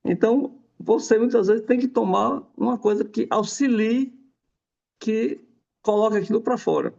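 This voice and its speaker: A man with a gravelly, rough voice talks through an explanation in a loose, rambling way, tagging his sentences with little questions. His voice sits a bit high for a man, and the recording sounds dull and lo-fi. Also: normal speed, darkish tone. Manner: rambling, many tag questions. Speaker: guest explaining homeopathy. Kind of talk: casual explanatory chat.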